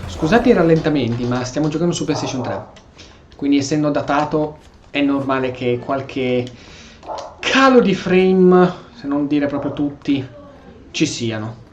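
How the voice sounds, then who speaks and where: A man's voice: fairly high pitch, moderate pace, muffled recording, slight background noise. A man with an Italian accent giving casual, informal gameplay commentary as a streamer. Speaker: gaming streamer. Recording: roomy echo, faint music behind.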